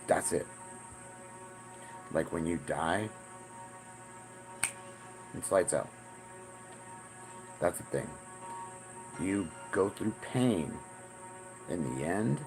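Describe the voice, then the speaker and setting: A man's voice: sits low, rough recording. A man in his forties talking in a rambling, profane monologue, often repeating himself. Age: 40s. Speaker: self-styled spiritual teacher.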